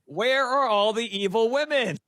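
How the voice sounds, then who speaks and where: A man's voice: very high voice, normal speed, a bit bright, no room sound, clean studio sound. A man giving news explainer commentary in short, punchy phrases with a dramatic, tongue-in-cheek manner.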